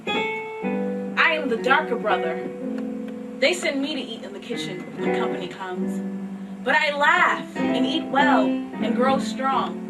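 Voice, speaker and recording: A woman's voice: a little high, animated, slow pace, pauses often. A woman giving a dramatic poetry recital as a proud, confident, defiant brother, with emphatic declamation. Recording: distant mic, loud piano music.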